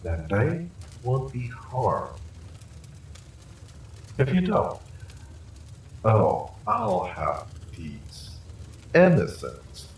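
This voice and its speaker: Evil male sorcerer, fantasy audio drama, deep, widely swooping delivery, gloating, mock-courteous, silky threat. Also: wildly swooping pitch, drawn-out words, pauses often, breathy edge, exaggerated voice, low-quality recording. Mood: polite menace. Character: evil male sorcerer, smug, theatrical, silkily menacing conqueror. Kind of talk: dramatic villain monologue, audio roleplay.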